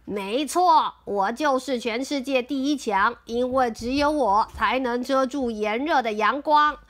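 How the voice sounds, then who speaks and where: A woman's voice: sits high, unhurried, fairly bright tone. A woman reading aloud as a smug, laughing storm cloud who boasts.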